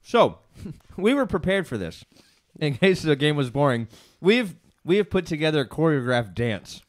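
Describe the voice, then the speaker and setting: A man's voice: fairly high pitch, normal speed, no room sound. A man hosting a casual podcast with friends, chatting and joking during a football game. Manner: animated, laughing, teasing. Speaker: podcast host. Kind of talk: casual banter while watching football. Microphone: podcast mic.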